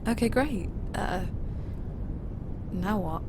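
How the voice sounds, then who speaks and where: A woman's voice: mid-range voice, expressive, slow, creaky, very noisy. A woman playing a modest, agreeable starship chief engineer in a science fiction audio drama.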